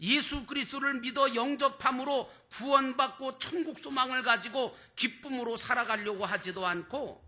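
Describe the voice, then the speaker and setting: Male preacher, extremely high and forceful voice, declamatory, rhetorical sermon style. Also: normal speed, few pauses. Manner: emphatic, declamatory, stretches key words, rhetorical questions. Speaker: pastor preaching to a congregation.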